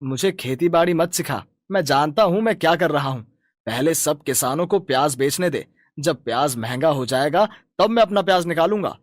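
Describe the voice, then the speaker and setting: A man's voice: on the high side, animated, moderate pace, no room sound, clean studio sound, lightly acted. A man voicing a greedy, boastful, stubborn village farmer and husband in a cartoon moral story, talking in a rustic, dismissive way.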